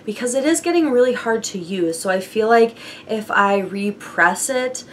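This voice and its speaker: Hoarse, chatty young woman vlogging at home. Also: fairly high pitch, lively intonation, normal speed, slight background noise. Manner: chatty, laughs lightly, frequent fillers. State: hoarse from bronchitis. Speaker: beauty vlogger. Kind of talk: casual product update chat.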